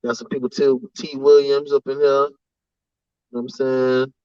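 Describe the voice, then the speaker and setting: A man's voice: mid-range voice, flat delivery, normal speed, darkish tone, clean tone, no echo, muffled recording. A man hosting a casual livestream, reading out names from the chat and often tagging his lines with "you know what I'm saying". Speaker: livestream host.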